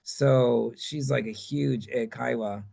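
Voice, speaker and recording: A man presenting at a conference, answering questions in a halting, think-aloud way. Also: mid-range voice, creaky, warm tone, husky.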